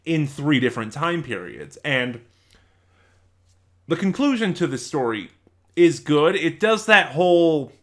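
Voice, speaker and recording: A man's voice: on the high side, very animated, a little slow, long pauses. A man giving a casual, unscripted review in a rambling, conversational way, correcting himself as he goes. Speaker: reviewer and channel host.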